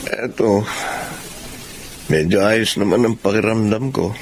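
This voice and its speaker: A man's voice: fairly low pitch, measured pace, a little hiss or hum, natural voice. An actor with a Filipino accent playing a remorseful fisherman husband in a radio drama. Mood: weak, remorseful.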